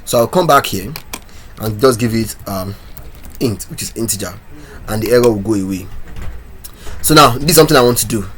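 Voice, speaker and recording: A man's voice: on the low side, a little fast, pauses often, fairly bright tone, slight background noise. A man teaching a coding lesson, talking through his code step by step and asking viewers questions. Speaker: programming instructor. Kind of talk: screen-recorded coding tutorial.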